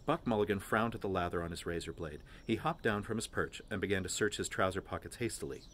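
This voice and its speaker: A man reading literary prose aloud as an expressive narrator. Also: deep voice, quick pace, a little hiss or hum. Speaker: volunteer reader of a novel.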